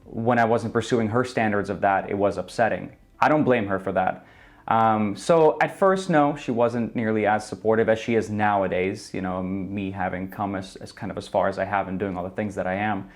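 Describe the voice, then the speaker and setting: Man, 20s, from Ukraine, low voice, thoughtful interview guest. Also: steady tone, normal speed. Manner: earnest, thoughtful, with frequent ums. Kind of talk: reflective interview answers. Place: indoor studio.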